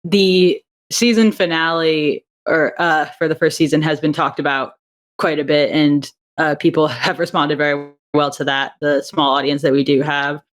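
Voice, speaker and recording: A woman's voice: low-pitched, steady tone, moderate pace. A young adult woman, a guest on an interview over a video call, talking enthusiastically about her audio drama and thinking aloud with frequent restarts. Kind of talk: casual interview chat.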